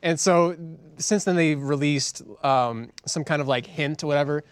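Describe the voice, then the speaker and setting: A man's voice: on the high side, moderate pace, clear, resonant tone, dry recording. A man co-hosting a podcast, talking casually with friends. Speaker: podcast co-host. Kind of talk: casual group banter.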